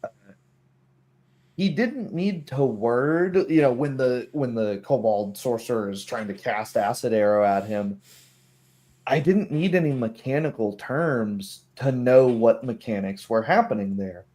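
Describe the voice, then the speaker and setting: A man's voice: medium pitch, a little slow, darkish tone. Guy game master just chatting about rules, kinda rambly, does little quoted bits in a mid-range voice. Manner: rambling, acts out imagined remarks. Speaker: game master of a tabletop roleplaying group. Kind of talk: casual chat about tabletop game rules.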